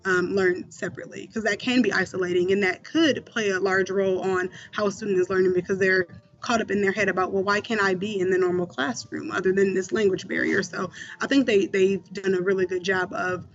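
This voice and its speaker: A man's voice: high voice, steady tone, brisk, a bit bright, lo-fi sound. A man working as a school mentor, answering interview questions in a conversational way with many fillers and restarts.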